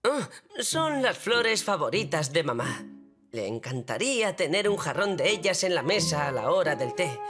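A woman voicing a scheming, excitable, mischievous young boy in a cartoon dub, with a Castilian Spanish accent. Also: sits low, normal speed. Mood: sly, scheming. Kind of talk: animated cartoon dubbing. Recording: loud music behind the voice.

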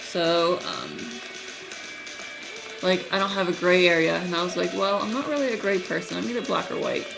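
A young woman in college who stutters, talking casually to her webcam in a small room, with halting speech, many fillers and restarts. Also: fairly low pitch, vocal fry, music in the background, poor audio. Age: young adult. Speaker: vlogger. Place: small room at night, indoors. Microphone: webcam at close range.

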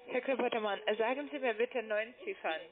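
A woman's voice: fairly high pitch, brisk. Insistent woman on a tinny phone. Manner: insistent, tag questions. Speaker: call centre trading agent. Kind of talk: scripted sales phone call in non-native German. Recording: narrow, tinny phone line.

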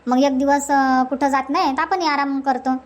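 A woman's voice: high-pitched, steady tone, moderate pace, lightly acted. A woman voicing a chatty, teasing village aunt in a comedy cartoon, exclaiming in a Varhadi Marathi accent. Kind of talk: cartoon comedy dialogue in rural dialect.

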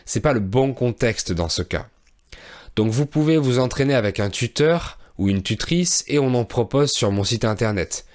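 A man in his thirties from France, a teacher and podcast host, telling a travel story for language learners in a clear, measured way with soft fillers, recorded close on a studio mic in a small room. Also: mid-range voice, animated. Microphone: studio microphone on a boom arm, close.

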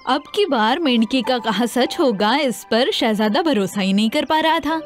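A woman narrating a scripted fairy tale for children in an expressive, dramatized way. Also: on the high side, soft background music. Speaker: storyteller.

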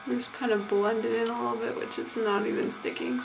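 A woman's voice: a little high, level tone, a little fast, creaky. A young woman chatting casually through a makeup tutorial, rambling, distracted and self-deprecating. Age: young adult. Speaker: vlogger. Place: small bathroom indoors. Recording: echoey small room, music behind.

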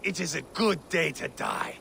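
An actor playing a vengeful, battle-scarred Viking warlord in a video game, savage and mocking with a gravelly, menacing delivery. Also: male voice, on the high side, unhurried, sharp tone, slight background noise, exaggerated voice. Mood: bloodthirsty relish. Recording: outdoor game ambience over voice.

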